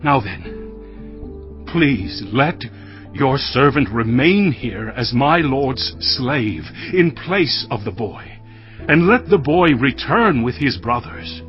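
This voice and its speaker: A man's voice: medium pitch, very animated, takes it slowly, muffled recording, faint music behind. A man playing a humble, anguished brother pleading for mercy, earnest and deferential, in a dramatized audio Bible.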